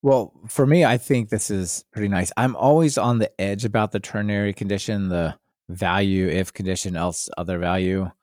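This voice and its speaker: A man's voice: fairly low pitch, moderate pace, a little mellow, no echo, studio quality. A man hosting a podcast, talking casually about tech. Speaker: podcast host.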